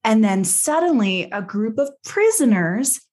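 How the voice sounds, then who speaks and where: A woman's voice: a little high, sing-song, unhurried, a little mellow. Playful woman recapping a story. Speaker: podcast host. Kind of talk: lively episode recap in casual chat.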